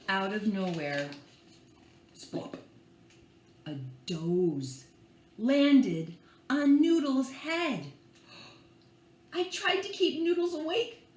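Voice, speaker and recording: Animated woman reading a picture book aloud. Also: mid-range voice. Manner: playful, theatrical, drawn-out words with hushed asides. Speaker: librarian reading at children's storytime. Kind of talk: expressive picture-book read-aloud with asides to young listeners. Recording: echoey room with faint background noise.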